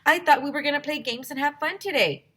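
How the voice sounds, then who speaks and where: A woman's voice: high-pitched, fast, bright, forceful tone, pitched above the performer's own voice. A woman voicing a dramatic, easily panicked young schoolboy in a children's storybook.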